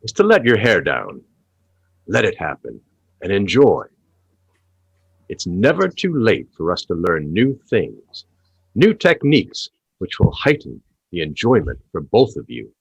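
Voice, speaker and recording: Radio comedy: late-night love-advice DJ, lowish husky voice, theatrical and intimate, very close and dry. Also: male voice, huge pitch swings, unhurried, pauses often, no room sound, exaggerated voice. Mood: soothing, suggestive. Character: smooth-talking late-night radio sex-advice chat host.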